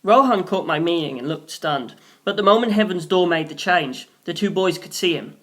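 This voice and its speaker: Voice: medium pitch, expressive, normal speed, fairly bright tone. A narrator reading a scripted story aloud in a dramatic storytelling style, acting out the characters. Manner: acts out characters' dialogue in different voices. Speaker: audiobook narrator, reader.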